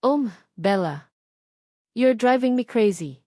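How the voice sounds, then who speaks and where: A woman's voice: a little high, lively intonation, slow, dark tone, no room sound, clean studio sound. A woman voicing an amorous, possessive young man in romance storybook dialogue. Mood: passionate.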